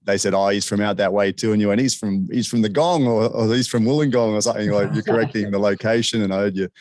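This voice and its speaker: A man's voice: lowish voice, fairly even intonation, fast talker, a little mellow, no echo. A man from Sydney with an Australian accent, hosting a casual interview and often tacking on "man". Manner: laid-back, says man and bro a lot. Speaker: interview host.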